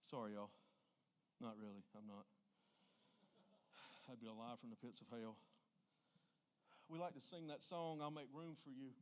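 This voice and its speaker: A man's voice: mid-range voice, fairly even intonation, moderate pace, pauses often, a little hiss or hum. A middle-aged man preaching an informal sermon, emphatic and folksy, often putting rhetorical questions to his listeners.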